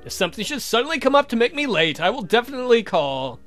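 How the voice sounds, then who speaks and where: Guy doing a theatrical vampire count for a game, grumbly but polite, high for a man, swoops around. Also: big pitch swings, fast, a bit bright, soft background music, heavily acted. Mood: courtly. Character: exasperated, courtly vampire count with dry wit. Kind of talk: visual novel character voice.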